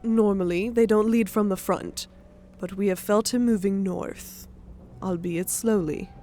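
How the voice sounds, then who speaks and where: A woman's voice: medium pitch, fairly even intonation, a little slow, dark tone, soft background music, close to an everyday voice. A woman playing a proud, grudging warrior goddess with a blunt manner in an audiobook drama. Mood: grave briefing. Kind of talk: audiobook character acting.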